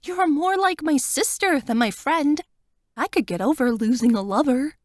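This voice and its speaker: A woman's voice: high-pitched, expressive, normal speed, darkish tone, dry recording, clean studio sound, voice pushed up. A woman voicing Wanda, a tearful and devoted young woman who pleads emotionally with her friend, her voice lifted well above the narrator's own. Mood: tearful pleading.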